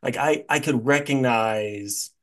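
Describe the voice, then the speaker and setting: A man's voice: medium pitch, takes it slowly. A man hosting a podcast in casual, informal chat, dramatizing his reactions as he goes. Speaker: podcast host.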